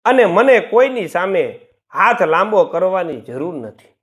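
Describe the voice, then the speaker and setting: A man's voice: high-pitched, very animated, measured pace. A man voicing an elderly father in a storybook tale, speaking with firm reproach. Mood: hurt, firm.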